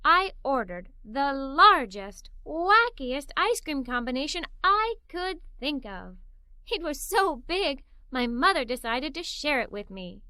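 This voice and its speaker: A woman tells a story for young children in a high, very animated voice. Her tone is bright and the sound is dry, with expressive delivery suited to a read-aloud. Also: slow, no echo. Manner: expressive, performs the characters' dialogue.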